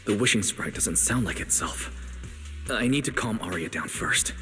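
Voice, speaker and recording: An actor playing a calm, caring young male manager in a video game, with a gentle, measured delivery. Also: on the low side, faint music behind. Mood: uneasy, steady. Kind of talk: scripted video game voice acting.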